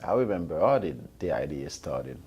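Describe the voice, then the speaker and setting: A man from Haiti with a Haitian accent, a physician guest answering interview questions in a hesitant, halting way full of ums. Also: rumbling low, sing-song, darkish tone, husky, highs cut off.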